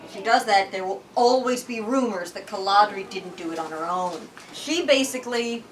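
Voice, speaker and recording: A woman hosting a book talk, reading and explaining dramatically with animated, emphatic, theatrical delivery. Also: medium pitch, moderate pace, distant mic, slight background noise, poor audio. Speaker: book talk host and reader.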